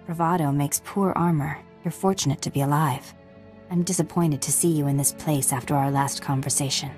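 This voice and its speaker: Woman acting a grave, lowish-voiced noble warrior. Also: fairly even intonation, brisk, darkish tone, soft background music, natural voice. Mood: concerned, reproving. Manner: grave, formal. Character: stern, earnest, principled female Jedi warrior. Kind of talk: video game acting.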